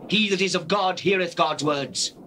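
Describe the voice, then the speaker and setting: An actor playing a solemn, authoritative holy teacher, declaiming scripture in an audio drama. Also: male voice, high voice, moderate pace, pushed and bright. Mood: firm, solemn. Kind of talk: dramatized scripture reading, stage-like acting. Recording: noisy old cassette tape recording.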